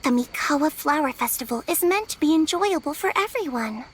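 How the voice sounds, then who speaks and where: A woman voicing an earnest young female yokai in a video game dub, speaking in a measured, sincere way. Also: high voice, lively intonation.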